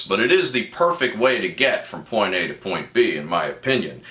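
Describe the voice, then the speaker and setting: A man explaining a song's chords and harmonies as a guitar teacher, breaking in with playful, wry asides. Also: fairly low pitch. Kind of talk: guitar tutorial with music analysis. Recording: echoey room with loud music. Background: loud guitar and band music.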